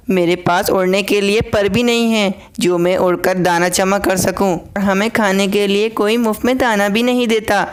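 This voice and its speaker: Woman as cartoon mother bird, worried, protective, gentle, explanatory, smooth clear tone. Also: medium pitch, lightly acted. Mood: worried, pleading.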